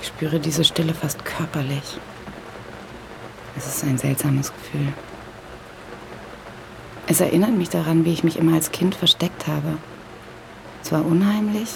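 A woman's voice: deep voice, steady tone, measured pace, vocal fry, long pauses, background noise, heavily acted. An actress playing a wary, probing woman in an audio drama, given to pointed questions. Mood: uneasy, hushed. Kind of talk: German audio drama acting.

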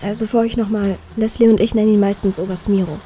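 A woman's voice: mid-range voice, fairly even intonation, quick pace, dark tone, slight background noise, lightly acted. A young woman playing a candid, shaken teenage daughter in an audio drama, speaking informally. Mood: candid, chatty. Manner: informal, youthful. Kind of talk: audio drama acting, podcast chat.

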